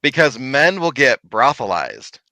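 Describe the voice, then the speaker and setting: A man telling a lively, laughing story from his paranormal investigations in casual chat. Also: medium pitch, a little slow, no room sound, rough recording. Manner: animated, laughing, big voice.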